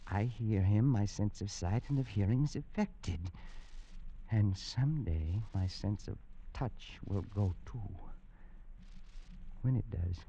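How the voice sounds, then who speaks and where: A man's voice: fairly low pitch, slow, dark tone. An actor in a 1940s radio drama playing a feverish, haunted British adventurer, agitated and theatrical as his mind unravels. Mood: brooding dread. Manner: agitated, theatrical, swinging from brooding to hysterical. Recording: muffled, noisy vintage radio recording.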